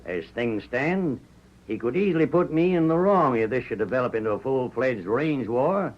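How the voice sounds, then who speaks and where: An actor playing a firm, middle-aged American ranch owner reasoning in a measured, articulate way in a 1940s radio Western. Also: male voice, medium pitch, expressive, lightly acted. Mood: persuasive. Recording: muffled vintage 1940s radio recording.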